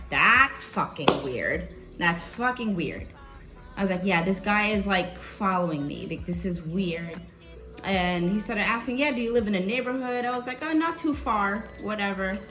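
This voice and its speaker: A woman's voice: medium pitch, a bit bright, roomy sound. A young woman from the South Bronx, telling a personal story in a casual, candid vlog and swearing now and then. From South Bronx, New York. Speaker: vlogger.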